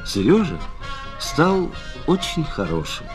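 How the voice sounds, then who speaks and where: Unhurried, highly animated male storyteller. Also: music in the background. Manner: dramatic, deliberate. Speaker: narrator. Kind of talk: expressive children's storytelling.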